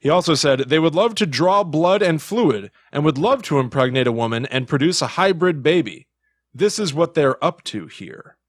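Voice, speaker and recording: A man's voice: fairly high pitch, expressive, moderate pace, no room sound, studio quality. A man hosting a casual podcast, talking conversationally with wry, exaggerated commentary. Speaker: podcast host.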